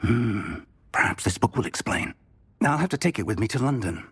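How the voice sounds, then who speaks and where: A curious, fretful professor in a fantasy game. His voice is very husky and breathy, with lively swings of pitch. He talks expressively, as if musing to himself. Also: normal speed, exaggerated voice. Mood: thoughtful concern. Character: excitable, scholarly male professor, curious and fretful. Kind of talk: scripted video game acting.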